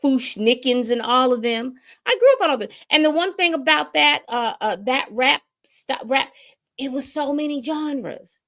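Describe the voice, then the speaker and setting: A woman's voice: sits high, normal speed, a bit bright. A woman in her fifties giving a casual commentary monologue, emphatic and repetitive, often restarting her sentences. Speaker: commentary host.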